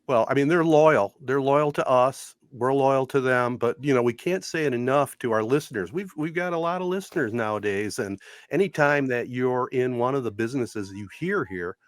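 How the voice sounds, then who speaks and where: A man's voice: medium pitch, normal speed, no room sound, studio quality. A man hosting a podcast in a casual, conversational style. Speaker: podcast host.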